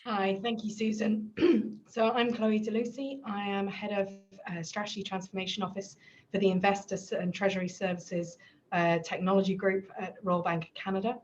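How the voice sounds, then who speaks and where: A businesslike woman executive speaks in a flat, low-pitched voice. The audio is dull and muffled. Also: normal speed. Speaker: technology strategy executive, panelist. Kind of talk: self-introduction on a panel.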